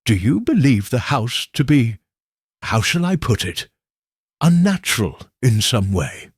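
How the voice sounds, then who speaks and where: Male narrator as shrewd Victorian detective, composed, probing, formal, unhurried, dry recording. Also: mid-range voice, sing-song, breathy edge, no echo.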